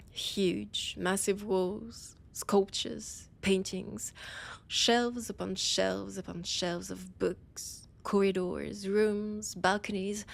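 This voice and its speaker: A woman playing a possessive vampire scholar with a French accent, in a theatrical, breathy audio roleplay monologue with dramatic pauses. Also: mid-range voice, heavily acted. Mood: proud, ominous. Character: annoyed, possessive female vampire scholar, ancient library guide.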